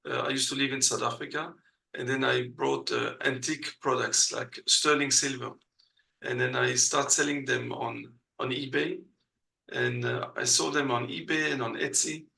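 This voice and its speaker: Gravelly-voiced Belgian man, hesitant. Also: mid-range voice, fairly even intonation, normal speed, pushed and bright. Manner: hesitant, many uh fillers, non-native grammar. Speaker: guest. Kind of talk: casual interview.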